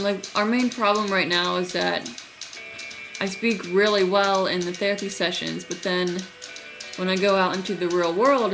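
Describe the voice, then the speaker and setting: A young woman in college who stutters, talking casually to her webcam in a small room, with halting speech, many fillers and restarts. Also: on the low side, vocal fry. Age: young adult. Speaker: vlogger. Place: small room at night, indoors. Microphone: webcam at close range.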